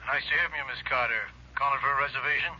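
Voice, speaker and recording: Breezy, businesslike male restaurateur in vintage radio drama; piercing bright voice through a muffled phone effect. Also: mid-range voice, steady tone, rapid-fire. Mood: brisk, obliging. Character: brisk, obliging male restaurant owner. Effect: telephone. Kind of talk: 1970s radio drama acting.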